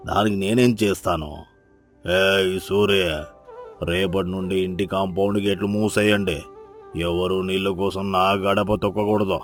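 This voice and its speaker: A man's voice: deep voice, fairly even intonation, unhurried, soft background music. A man voicing a harsh, haughty landlord who speaks in an imperious, scolding way for a moral-story cartoon. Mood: angry, dismissive. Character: harsh, haughty male landlord obsessed with his garden.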